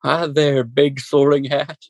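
A man's voice: medium pitch, flat delivery, slow pace, no echo. A man voicing a wistful talking sorting hat in an improvised comedy sketch. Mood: friendly.

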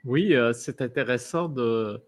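Hesitant slow-talking man. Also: mid-range voice. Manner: hesitant, many fillers, musing. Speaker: podcast host. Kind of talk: interview conversation.

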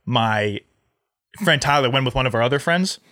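Young man, American accent, medium pitch, dry studio-like sound, casual podcast co-host, rambling anecdotes. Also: animated, normal speed, bright, forceful tone, no echo.

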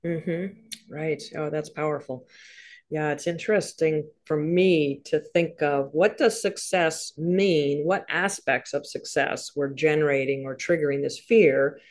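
A woman hosting an interview, warmly encouraging and enthusiastic, often laughing and affirming her guest in casual chat. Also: low voice, steady tone, unhurried. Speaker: podcast host.

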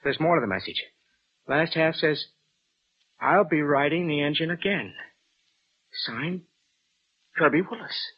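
An actor playing a brash, impatient, mocking stepson in a 1940s radio drama, on an old recording. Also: male voice, on the high side, a little slow, long pauses, breathy edge. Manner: brash, scoffing, punchy. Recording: slightly noisy, dull old radio recording.

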